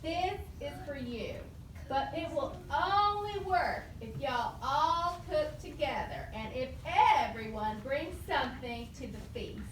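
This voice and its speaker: Woman playing a high-pitched, slow-talking clever squirrel. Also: animated. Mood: kindly. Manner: deliberate, drawn-out, savoring. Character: clever, confident traveling squirrel cooking magic soup. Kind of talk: live children's puppet show. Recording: echoey room, distant mic, faint noise.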